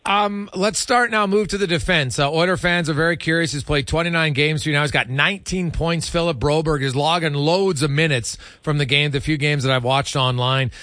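A man's voice: fairly high pitch, a little fast, hardly any pauses, pushed and bright, dry recording, clean studio sound. A man hosting a casual sports radio interview, asking long, conversational questions.

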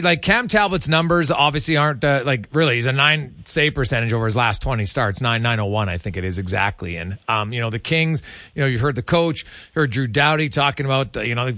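A man hosting a casual sports interview, asking informal, rambling questions in a halting way. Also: fairly high pitch, pushed and bright, dry recording, clean studio sound. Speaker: sports radio host, interviewer.

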